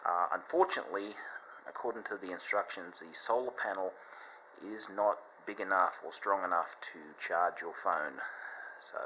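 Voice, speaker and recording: A man giving a casual, unscripted product demonstration, explaining things in a halting, step-by-step way. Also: sits low, bright, forceful tone, a little hiss or hum, poor audio. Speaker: product reviewer.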